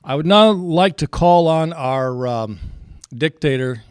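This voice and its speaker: Male club president, slightly high smooth voice, folksy and halting, making informal announcements to members. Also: lively intonation, no room sound, studio quality. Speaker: club president chairing a meeting. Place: club meeting room.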